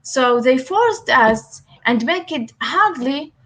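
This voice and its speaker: High-voiced Arabic-speaking woman, halting. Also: expressive, fairly bright tone. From Palestine. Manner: halting, many fillers and restarts. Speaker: guest. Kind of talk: interview answers.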